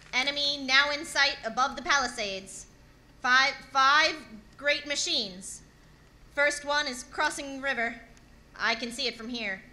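A woman's voice: high voice, pushed and bright, slight background noise, heavily acted. A woman playing a grave, urgent radio announcer reporting an invasion in clipped, dramatic 1930s radio drama style.

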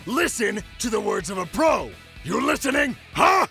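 A man voicing a gruff, hot-tempered panda doctor in a manga dub, barking blunt, forceful scoldings. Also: extremely high pitch, very animated, moderate pace, soft background music, heavily acted. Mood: furious. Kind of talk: dramatic manga voice-over acting.

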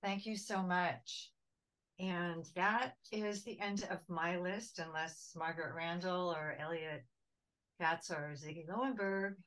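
An older woman in her sixties hosts casually over a video call. Her voice sits a little low, picked up by a computer mic in a home office. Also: steady tone, slow pace, a bit bright, poor audio. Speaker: host. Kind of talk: casual event hosting.

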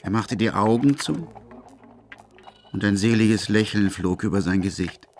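A man's voice: on the low side, unhurried, soft background music. A man narrating a literary radio drama as its storyteller.

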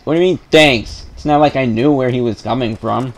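A man's voice: medium pitch, fairly quick. A young man playing an online shooter, chatting casually in a joking, teasing way. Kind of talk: casual gaming banter.

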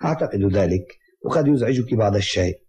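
An actor playing a polite, serious young gentleman doctor in a dubbed cartoon, speaking formal Arabic courteously and earnestly. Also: fairly low pitch, lively intonation, breathy edge. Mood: serious, concerned. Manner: courteous, measured wording, earnest.

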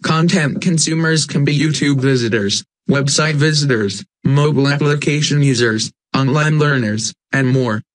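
A man's voice: fairly high pitch, poor audio. A synthetic text-to-speech man reading scripted narration.

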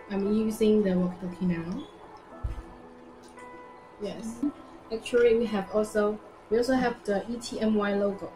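Young woman demonstrating products, roomy echo. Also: medium pitch. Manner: simple halting non-native English, repeats phrases. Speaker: product presenter. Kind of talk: informal live product demonstration. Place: indoor product showroom. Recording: roomy echo with music behind. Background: music.

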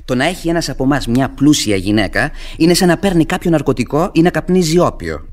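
An actor playing a sardonic, scolding young medical student in a Greek radio theatre play. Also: male voice, on the high side, sing-song. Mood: cynical, warning. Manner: biting, scolding.

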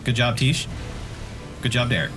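A man bantering casually as he comments on a friend's video game, joking and swearing freely in an excitable way. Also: mid-range voice, normal speed. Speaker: co-host commenting on a friend's gameplay. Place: indoor room, webcam setup beside another player. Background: game music.

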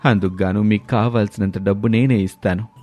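A man's voice: fairly low pitch, fairly even intonation, fairly quick, dark tone, lightly acted. An actor playing a greedy, self-serving village headman in a cartoon moral story. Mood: ashamed.

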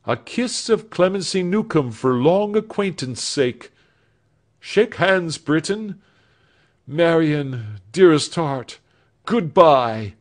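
A man's voice: on the high side, animated, slow pace, pitched above the performer's own voice. A man playing an earnest young man bidding a heartfelt farewell to those he loves. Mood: fervent. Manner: fervent, heartfelt. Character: earnest young man, devoted lover departing.

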